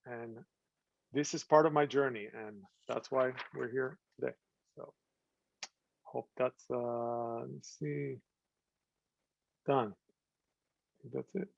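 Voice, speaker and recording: A man giving an earnest talk to an audience about his film, often pausing to find words. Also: medium pitch, fairly even intonation, vocal fry, no echo. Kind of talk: presentation to an audience. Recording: slightly dull, dry sound.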